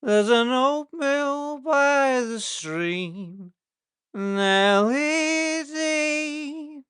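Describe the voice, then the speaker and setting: A reedy old Yorkshire farmer, as a male narrator puts him on, small, tipsy and curt. The voice is pitched up from the reader's own, in a storybook reading. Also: lively intonation, very slow, fairly bright tone, no echo, clean studio sound, full character voice. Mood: raucous singing.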